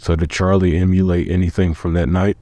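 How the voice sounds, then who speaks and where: Man acting very deep, world-weary middle-aged man. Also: flat delivery, fairly quick, warm tone, dry recording, natural voice. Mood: wary. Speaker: voice actor in a comic dub. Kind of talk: dramatic dialogue. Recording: music behind the voice.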